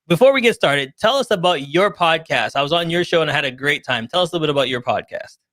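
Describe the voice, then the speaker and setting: A man's voice: fairly high pitch, animated, fast, a bit bright, no echo, clean studio sound. A man hosting an interview show, hyping it up with an emphatic, loose, casual way of talking. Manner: hype-style, emphatic, loose grammar. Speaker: show host. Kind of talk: enthusiastic hosting, casual chat.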